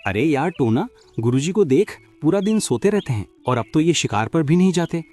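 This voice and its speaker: A man performs a doubting cartoon animal disciple with a fairly high yet very soft-toned, mellow voice. He sounds questioning and conspiratorial, with faint music behind him. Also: big pitch swings, normal speed. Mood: curious. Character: suspicious, questioning young male animal disciple. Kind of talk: Hindi cartoon story acting.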